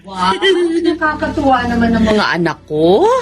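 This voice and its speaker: An actress in a radio drama playing a warm, doting mother with an affectionate, soothing manner. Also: on the high side, big pitch swings, moderate pace. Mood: loving. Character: warm, tender, doting mother of two boys. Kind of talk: Tagalog radio drama acting.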